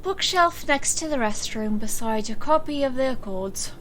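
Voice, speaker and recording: A woman's voice: high voice, animated, normal speed, fairly bright tone. A woman playing a weary, sardonic stage magician and capable assistant in an audio drama, with dry delivery. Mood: exasperated. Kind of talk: audio drama character acting. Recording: faint noise.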